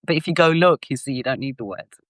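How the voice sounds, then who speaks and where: A woman from Greece, a guest scholar, talking in a casual interview in an animated, emphatic way, often restarting her sentences. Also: rumbling low, fast, dry recording, studio quality.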